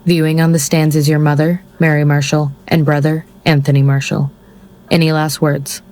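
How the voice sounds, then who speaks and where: An actor playing a curt, by-the-book executioner in an audio drama, formal and deadpan. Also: mid-range voice, normal speed, a little hiss or hum, lightly acted. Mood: procedural.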